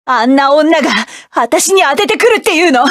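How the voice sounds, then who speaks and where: Proud hardened mech pilot woman, high voice, clipped barks, dry studio sound. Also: animated, normal speed, no room sound. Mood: battle-ready. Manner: sharp, self-assured, clipped combat barks. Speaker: game character. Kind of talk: game battle voice lines.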